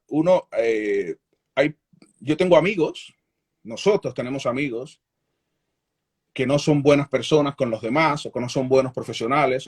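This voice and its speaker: Husky-voiced Cuban man, measured. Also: mid-range voice. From Cuba. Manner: frequent restarts, measured and candid. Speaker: journalist guest. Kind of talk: reflective interview answers.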